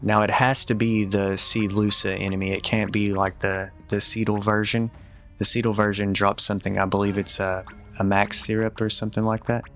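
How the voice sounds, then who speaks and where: Man, low creaky voice, fairly even intonation, casual game guide commentator, matter-of-fact advice, music behind. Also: moderate pace. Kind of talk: casual walkthrough commentary with tips.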